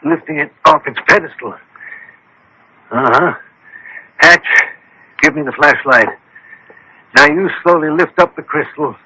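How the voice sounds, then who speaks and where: An actor playing a shrewd, commanding doctor-detective in a radio mystery, articulate, authoritative and a little pompous. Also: male voice, on the high side, unhurried, pauses often, bright, forceful tone, slightly rough, no room sound, heavily acted, low-quality recording. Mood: confident, instructive. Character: shrewd, commanding gentleman detective doctor, self-assured and exacting.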